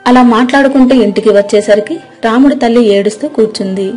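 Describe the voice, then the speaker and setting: A woman's voice: fairly high pitch, quick pace, darkish tone, faint music behind. A woman narrating a scripted story.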